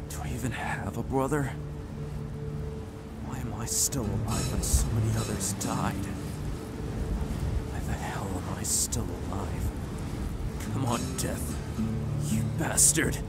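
A man playing a despairing, self-loathing young outlaw in an audio drama, with a halting, anguished delivery. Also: medium pitch, animated, vocal fry. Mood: anguished anger. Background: loud music.

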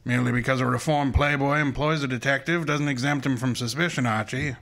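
A man playing a pompous, shrewd detective in a radio drama, with a formal, measured manner. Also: medium pitch, steady tone, heavy background noise, lightly acted. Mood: dry, grumbling.

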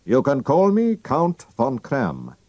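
A man's voice: medium pitch, wildly swooping pitch, slow, dark tone, no room sound, voice pushed up, exaggerated voice. A man voicing a proud, anxious king who speaks formally and commandingly. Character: German-accented royal visitor, proud king, anxious.

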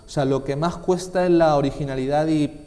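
Guy in his 20s from spain, spanish accent, bit high pitched, emphatic chatty interview guest, reverby room. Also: steady tone, brisk, dark tone. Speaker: interview guest, film festival organiser. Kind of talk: casual interview answers. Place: small indoor TV studio, seated at a desk.

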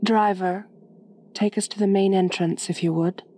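A woman playing a gentle, doting aristocratic vampire mistress in an ASMR roleplay, speaking softly and breathily with genteel, soothing command. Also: medium pitch, steady tone, normal speed, a little hiss or hum, natural voice. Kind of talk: ASMR roleplay, intimate acting.

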